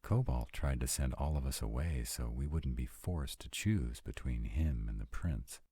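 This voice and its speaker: Man playing a very deep, husky, troubled young vampire. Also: normal speed, warm tone, no echo. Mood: tense, dismayed. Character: young sarcastic male vampire, troubled and confiding. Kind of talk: intimate first-person audio roleplay.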